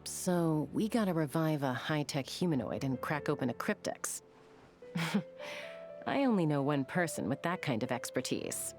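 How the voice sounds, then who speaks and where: A woman's voice: low-pitched, lively intonation, moderate pace. A woman acting as an easygoing, confident female gunslinger in a video game, speaking in a casual, wry way. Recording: music under the voice.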